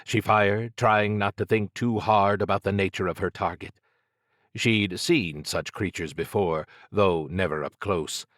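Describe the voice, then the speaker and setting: A man narrating scripted fiction as an audiobook, with measured, dramatic storytelling. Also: lowish voice, fairly even intonation, fairly bright tone, dry recording, clean studio sound.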